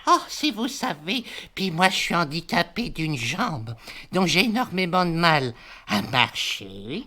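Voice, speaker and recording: A woman's voice: fairly low pitch, sing-song, a little slow, bright, forceful tone, full character voice. A woman voicing an exasperated, rambling woman in her eighties on a prank phone call, hesitant and stammering with frequent sighs. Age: elderly, as played. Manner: hesitant, stammering, sighing, repetitive. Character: exasperated rambling 82-year-old woman fed up with her brother. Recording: faint background noise on phone call.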